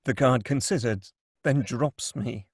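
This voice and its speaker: A man's voice: medium pitch, unhurried, husky, no room sound, studio quality. A man reading screenplay stage directions aloud as the narrator at a table read.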